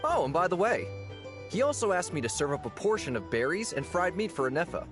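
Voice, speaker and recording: A man's voice: high-pitched, sing-song, a little fast. A man playing an affable, easygoing innkeeper in a video game, casual and chatty in manner. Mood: genial, reassuring. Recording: background music under the voice.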